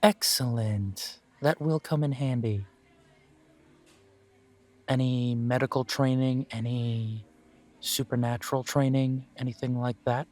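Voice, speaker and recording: A man voicing a polite male elf who owns a coffee shop, interviewing the listener for a job in a calm, formal way. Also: mid-range voice, slow pace, long pauses, natural voice. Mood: courteous. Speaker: shop manager interviewing an applicant. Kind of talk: audio roleplay, talking to the listener.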